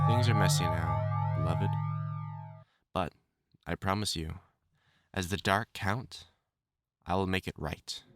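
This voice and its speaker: A man's voice: deep voice, exaggerated voice. A man playing an obsessive, lovesick furred supervillain in a dramatic audio roleplay, speaking intimately to the listener with theatrical endearments. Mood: tender, obsessive. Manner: pleading, stammering, theatrical endearments. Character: obsessive lovesick male supervillain with fur, desperate and menacing.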